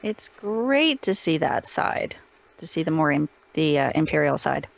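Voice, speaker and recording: A woman's voice: low voice, very animated, unhurried, vocal fry. A woman chatting casually as an interview guest, agreeable in manner. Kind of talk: casual chat.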